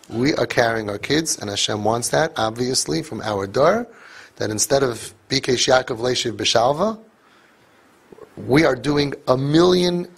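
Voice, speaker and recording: Gravelly man giving an impassioned talk. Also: medium pitch, a bit bright. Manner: emphatic, repeats phrases, mixes in Yiddish and Hebrew words. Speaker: speaker addressing parents.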